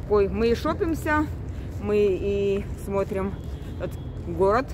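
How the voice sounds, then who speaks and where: A woman's voice: medium pitch, expressive, a little slow. A woman hosting a travel vlog in a casual, chatty, colloquial way, filmed at arm's length on a street. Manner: chatty, colloquial, uses many diminutives. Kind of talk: casual spoken vlog narration. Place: outdoors in an old-town market street. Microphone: handheld camera at arm's length. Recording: noisy outdoor street, handheld recording.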